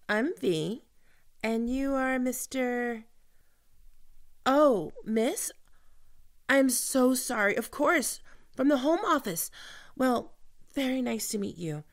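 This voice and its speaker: A woman acts out an awkward, smitten female team leader in an audio roleplay. Her speech is slow and halting, full of stammers and apologies. Her voice often crackles with vocal fry. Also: fairly high pitch, exaggerated voice. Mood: flustered, formal. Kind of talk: ASMR roleplay acting, one-sided dialogue.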